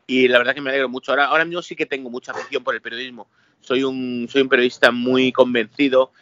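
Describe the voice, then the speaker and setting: A man's voice: medium pitch, level tone, fast, a bit bright, highs cut off, slight background noise. A man from Spain with a Madrid accent, a journalist guest answering interview questions hesitantly, with restarts and fillers. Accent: Madrid Spanish. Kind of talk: casual interview.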